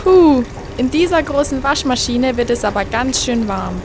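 Little talking lemonade bottle acted by a woman, female, high voice, cheeky, curious, expressive, children's radio play, noticeable background noise. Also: normal speed. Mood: startled. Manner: indignant, questioning, expressive.

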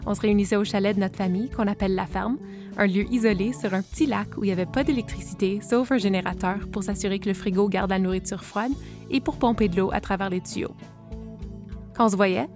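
A woman hosting a family podcast, narrating in clear explanatory tones and interviewing her cousins. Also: medium pitch. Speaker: podcast host.

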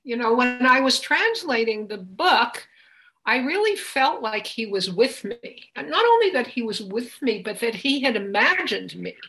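Woman, interview guest, high-ish pitch, creaky fry, conversational. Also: lively intonation, measured pace, bright, forceful tone, poor audio. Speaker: interview guest, literary translator.

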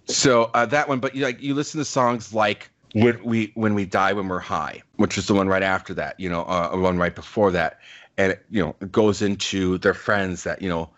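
A man's voice: deep voice, fairly even intonation, moderate pace, fairly bright tone, highs cut off. A man in his forties co-hosting a podcast, talking in an earnest, reflective way with plenty of fillers. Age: 40s. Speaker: podcast co-host. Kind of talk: casual podcast chat.

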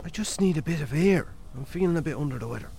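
A man's voice: a little high, lively intonation, quick pace, gravelly, dark tone, a little hiss or hum. A man playing a put-upon, hungover Irish farmer in a radio drama, weary, dry and sardonic. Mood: uncomfortable, placating. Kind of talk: naturalistic radio drama acting.

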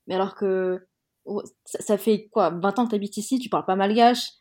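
A woman's voice: mid-range voice, normal speed, a little mellow. A young woman from Madagascar, interviewed in a casual talk, speaking frankly and heatedly with many fillers and restarts. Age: young adult. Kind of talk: casual conversation.

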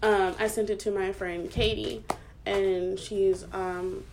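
A woman's voice: medium pitch, level tone, takes it slowly, darkish tone, a little hiss or hum, poor audio. Chatty young woman podcast co-host. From United States. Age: 20s. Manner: chatty, laughs often, many fillers. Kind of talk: casual chat.

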